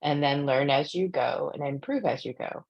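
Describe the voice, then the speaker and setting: A woman's voice: low-pitched, normal speed, gravelly, highs cut off. A woman interviewing an author at a bookstore talk, asking questions and summing up her advice in an encouraging way.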